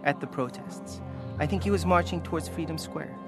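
A man's voice: on the high side, brisk, over music, close to an everyday voice. A man voicing a desperate mother who is searching for her teenage son, asking anxious, pleading questions.

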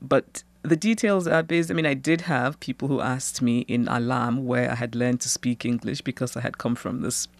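A Nigerian woman novelist speaks in a measured, thoughtful voice. She reads her own fiction aloud and talks through questions with an easy laugh. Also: rumbling low, fairly even intonation. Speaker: novelist, guest. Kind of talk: reading her fiction aloud, then interview answers.

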